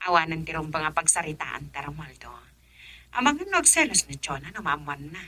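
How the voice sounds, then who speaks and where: An actress playing a middle-aged mother, emotional and husky, with an Ilocano Filipino accent in a radio drama. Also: low voice, lively intonation, brisk, pushed and bright. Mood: guarded, worried.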